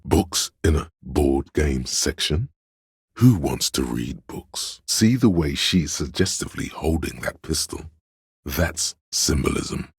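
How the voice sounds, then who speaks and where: Inner voice of art, video game narration, rapturous, theatrical, gravelly bass, close dry recording. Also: male voice, no echo, exaggerated voice. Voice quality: very deep, gravelly, husky. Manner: theatrical, savouring, poetic phrasing. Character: inner voice of artistic imagination, grandiose, rapturous, snobbish aesthete. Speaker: game voice actor. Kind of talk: dramatic literary game narration.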